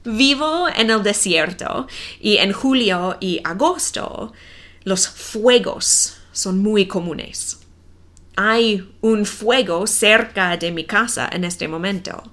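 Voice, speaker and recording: A woman in her forties with a non-native accent, reading a simple story slowly and carefully for language learners. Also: medium pitch, a bit bright. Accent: non-native Spanish accent. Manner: careful, deliberate reading with clear pauses. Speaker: Spanish teacher.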